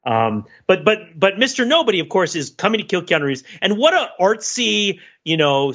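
A man co-hosting a casual, analytical film discussion, talking in an animated, enthusiastic way with many rambling asides. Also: medium pitch, muffled recording. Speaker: podcast co-host.

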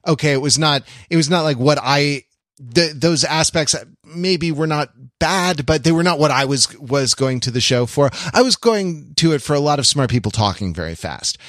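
A man hosting a podcast, talking casually and analytically, halting and digressive as he thinks aloud. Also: on the high side, expressive, fairly bright tone, dry recording, studio quality. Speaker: podcast host. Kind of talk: casual analytical discussion of a TV show.

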